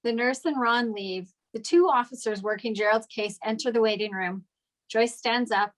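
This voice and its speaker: A woman's voice: fairly high pitch, moderate pace. A woman narrator reading scripted stage directions at a screenplay table read.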